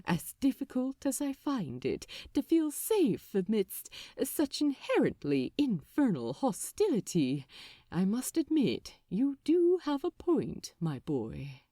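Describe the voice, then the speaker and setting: A prim, affectionate male angel performed by a woman narrator in a storybook. He speaks with fussy, old-fashioned politeness and a very lively rise and fall. The recording is dry and close. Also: on the high side, takes it slowly, no room sound, full character voice. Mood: conceding, fond.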